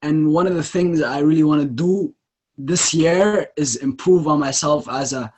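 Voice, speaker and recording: Halting young man, podcast guest. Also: a little high, a little mellow, slightly rough. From Botswana. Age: young adult. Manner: hesitant, halting, many ums and restarts. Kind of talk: casual podcast chat.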